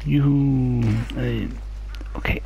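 Guy doing slow hesitant lets play talk, bit of background noise. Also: mid-range voice, flat delivery, creaky, dark tone, lo-fi sound. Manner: hesitant, halting. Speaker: gameplay video host. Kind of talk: casual gameplay commentary.

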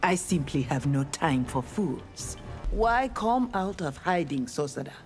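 A woman's voice: low-pitched, very animated, measured pace, a little mellow, faint music behind. A woman with a Nigerian accent voicing a proud, righteous young ninja warrior in a fighting game, formal and defiant. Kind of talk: video game fight dialogue.

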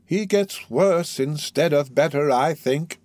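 A dignified old lady who speaks slowly and with quiet poise, as a male reader puts her on. Her lines come measured and unhurried. The recording is dry and close. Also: fairly high pitch, no room sound. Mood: calm. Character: dignified elderly lady of the house.

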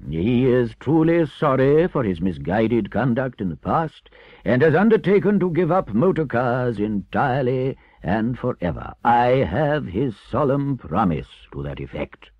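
A man's voice: medium pitch, very animated, no echo, dull audio, voice pushed up. A man voicing a stern, righteous older badger who speaks with solemn, commanding oratory in a storybook reading. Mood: solemn, satisfied. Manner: solemn, slow, emphatic oratory.

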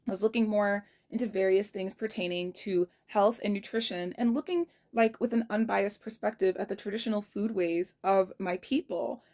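A woman's voice: medium pitch, fairly even intonation, normal speed, few pauses, a little mellow. Young woman, twenties, kitchen phone vlog, sincere and blunt diary-style delivery. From United States. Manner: earnest and reflective, frank, spells out numbers carefully. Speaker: vlogger. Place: kitchen indoors. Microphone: phone held low at arm's length.

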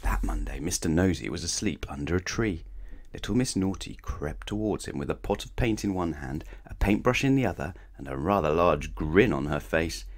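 A man reading a children's storybook aloud in an animated, playful way, speaking straight to young listeners. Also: low-pitched, moderate pace. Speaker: storybook reader. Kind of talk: expressive scripted children's story read-aloud.

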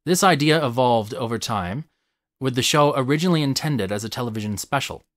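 A man's voice: medium pitch, a little fast, no room sound. Man narrating a video essay, dry close sound.

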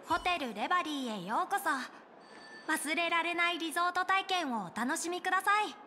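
Very high-pitched, polite young bellboy. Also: female voice, expressive, normal speed, bright, forceful tone, slight background noise, heavily acted. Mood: eager, polite. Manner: courteous, formal service speech. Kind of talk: Japanese video game voice acting.

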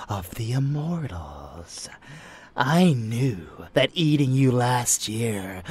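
A man acting as an unhinged, seductive male vampire lover in an intimate ASMR audio roleplay, breathy and often whispering, with teasing, menacing affection. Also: mid-range voice, fairly bright tone, no room sound, heavily acted. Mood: adoring, bloodthirsty.

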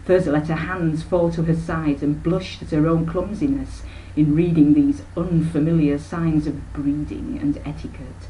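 Low-voiced older woman reading. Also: steady tone, normal speed, warm tone, poor audio. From Yorkshire, England. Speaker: author reading own novel. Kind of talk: expressive scripted narration. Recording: noticeable background noise, webcam microphone.

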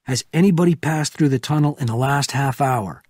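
Man playing a grim, husky agent in command. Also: medium pitch, fairly quick, darkish tone, dry recording, clean studio sound, voice pushed up, lightly acted. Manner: terse, resolute. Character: grim, decisive male agent taking command.

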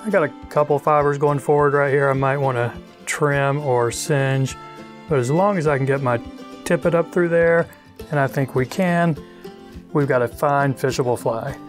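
Relaxed middle-aged man teaching fly tying. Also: mid-range voice, moderate pace, soft background music. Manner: relaxed, chatty, thinking aloud. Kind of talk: casual instructional narration. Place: outdoors on a wide river.

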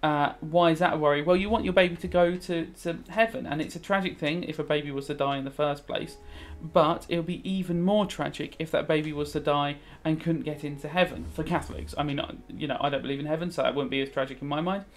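Higher-pitched British man thinking aloud. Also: fairly even intonation, quick pace, hardly any pauses. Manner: rambling, thinking aloud, switching between explaining and musing. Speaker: religious studies teacher. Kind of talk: casual revision commentary while playing a video game.

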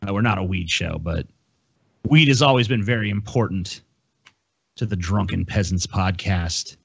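A man's voice: low voice, normal speed, vocal fry, husky, dry recording, muffled recording. An American man hosting a podcast, chatting casually in a blunt, profane, ranting way. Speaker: podcast host. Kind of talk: casual chat, ranting banter. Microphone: close podcast microphone on a video call.

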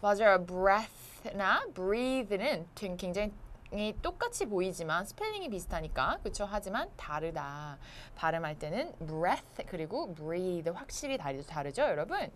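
Lively woman teaching English vocabulary. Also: mid-range voice, measured pace, slight background noise. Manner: lively, teasing, addresses viewers directly. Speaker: English lesson co-host. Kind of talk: bilingual TV vocabulary lesson. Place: TV studio, seated. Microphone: lapel mic.

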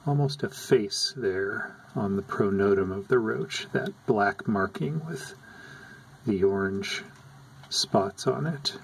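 A man calmly narrating over footage of his pet insect tanks, explaining what they do as he films. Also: lowish voice, vocal fry, a little hiss or hum, rough recording. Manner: slow, calm, explanatory. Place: indoors at an insect tank.

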